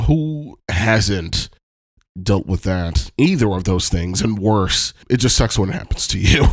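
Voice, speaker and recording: Man, very low voice, stuffed up with a cold, candid podcast monologue, dry close sound. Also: no echo. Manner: candid, frequent ums, casual swearing. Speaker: podcast host. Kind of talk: personal reflective monologue. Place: Los Angeles home.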